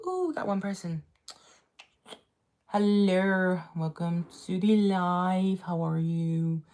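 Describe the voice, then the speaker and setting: Low-voiced woman chatting on a livestream. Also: animated, slow, darkish tone. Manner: chatty and upbeat, repeats herself, greets viewers. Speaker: livestream host. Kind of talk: casual livestream chat.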